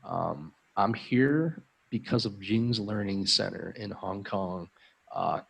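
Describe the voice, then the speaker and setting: A man's voice: on the low side, vocal fry, a bit bright, slightly rough, low-quality recording. An American man hosting a live stream as an English tutor, chatting in a friendly, upbeat way with frequent ums. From United States. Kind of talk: casual live-stream chat.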